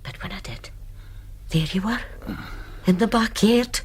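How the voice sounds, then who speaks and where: An actress playing a caring, superstitious Scottish housekeeper in a radio drama, speaking homely dialect. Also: female voice, mid-range voice, measured pace, a little hiss or hum, natural voice. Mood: anxious, caring. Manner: homely, solicitous dialect speech.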